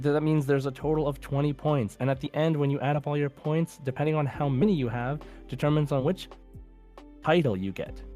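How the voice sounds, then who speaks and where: A man hosts a casual quiz podcast in a conversational voice of medium pitch. He plays quizmaster and speaks deliberately when reading things out. Faint music plays behind him. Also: mellow. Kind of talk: casual hosting of a quiz show.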